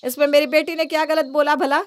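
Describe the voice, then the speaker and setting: A woman's voice: high-pitched, little pitch movement, fast, no echo, lightly acted. A woman playing a disapproving, reproachful mother-in-law who protects her daughter in a cartoon family story. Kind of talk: Hindi cartoon story acting.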